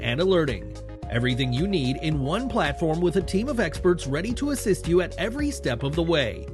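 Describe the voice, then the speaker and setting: A man reading a scripted ad in a polished, upbeat sales delivery. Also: on the high side. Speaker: ad announcer. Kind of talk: scripted ad read. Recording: ad read with music underneath.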